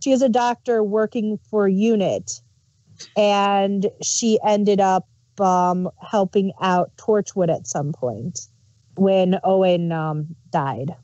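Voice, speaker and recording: Dark-voiced woman, casual chat. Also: mid-range voice, steady tone, slow, no echo, muffled recording. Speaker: podcast co-host reviewing audio dramas.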